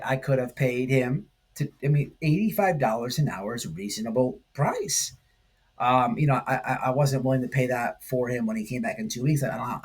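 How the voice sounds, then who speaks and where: A man hosting a commentary stream, talking casually and haltingly, repeating phrases for emphasis. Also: mid-range voice, husky. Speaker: host of a livestream commentary channel. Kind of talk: casual rambling drama commentary.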